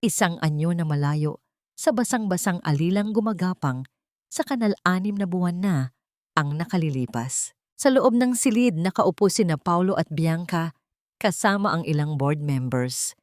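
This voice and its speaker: Low-voiced woman narrating a dramatic story. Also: animated, normal speed, darkish tone, no echo, clean studio sound. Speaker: audiobook narrator.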